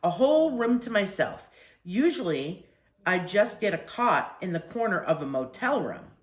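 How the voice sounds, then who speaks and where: An eager, contented little boy in a children's story, voiced by a woman reader. His speech rises and falls in a lively way, with room echo. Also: mid-range voice, moderate pace, natural voice. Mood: pleased. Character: contented, curious young boy on holiday.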